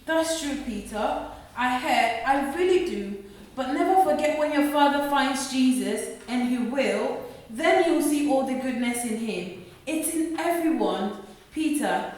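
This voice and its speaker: In a very echoey room, a devout wife and mother speaks in a high voice played by an actress. She is earnest and emotional, pleading yet firm, in radio drama style. The microphone sounds distant. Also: lively intonation, unhurried, darkish tone. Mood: gentle, sad. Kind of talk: radio play acting.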